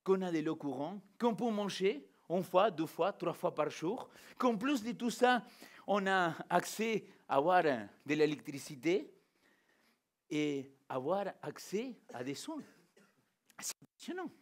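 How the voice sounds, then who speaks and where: A man's voice: high-pitched, measured pace. A man from Argentina, a native Spanish speaker, preaching as a pastor in an informal, interactive way, putting questions directly to his listeners. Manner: animated rhetorical questions, addressing listeners directly. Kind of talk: informal interactive sermon to a congregation.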